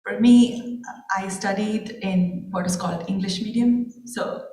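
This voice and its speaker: A soft-spoken woman speaking on a panel. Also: medium pitch, a little slow. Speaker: panel participant. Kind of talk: panel discussion. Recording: roomy sound from far off the mic.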